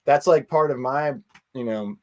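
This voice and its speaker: Male interview guest, mid-pitched voice, earnest and rambling, conversational and halting delivery.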